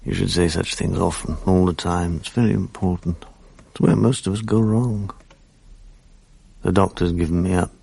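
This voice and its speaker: Weary English drinker, low gravelly voice, self-pitying, wry, confessional radio drama. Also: male voice, steady tone, moderate pace, dark tone, dry recording, heavily acted. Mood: rueful. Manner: wry, rueful, rambling. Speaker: lead actor and narrator.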